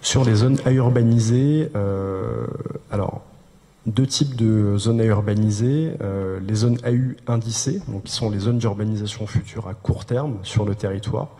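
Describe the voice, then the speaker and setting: Man, lowish pitch, dark soft-edged timbre, halting explanatory presentation, reverberant hall. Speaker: urban planning presenter. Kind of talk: explanatory public presentation of technical planning rules.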